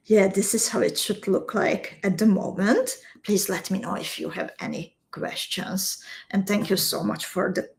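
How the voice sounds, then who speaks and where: Casting note: woman, unhurried craft teacher, friendly live-stream chatter with viewers, steady mid-range voice. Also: mid-range voice. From Slovakia. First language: Hungarian. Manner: slow, step-by-step instructions, chatting with viewers. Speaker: beading instructor.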